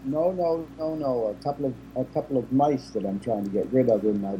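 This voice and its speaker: A man guest in a casual chat, jokey and animated, speaking over a video call. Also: mid-range voice, brisk, lo-fi sound, heavy background noise. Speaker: interview guest.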